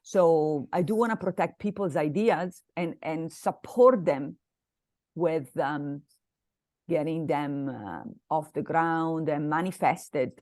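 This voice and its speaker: A woman with an Italian accent speaks in a fairly low voice, recorded close and dry. She talks conversationally as an interview guest, pausing and repeating phrases as she explains things. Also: a little mellow, dry recording. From Italy. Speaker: interview guest, business mastermind coach.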